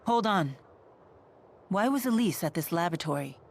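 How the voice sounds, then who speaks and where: An actress playing a calm, direct woman in a video game, questioning people with composure. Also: lowish voice, moderate pace, gravelly. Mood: probing.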